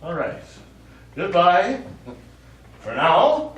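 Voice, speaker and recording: A crass, tipsy lawyer, played on stage by a man with a fairly high voice. He tells his stories in a pushy, theatrical way and brushes objections aside. The sound is very echoey, picked up from far off in a small theatre. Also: sing-song, extremely slow, a bit bright, lo-fi sound, a little hiss or hum, exaggerated voice. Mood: smug, jocular. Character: crass, boozy, joke-telling male lawyer who ignores objections. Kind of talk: live stage play acting. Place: small theatre studio, distant microphone.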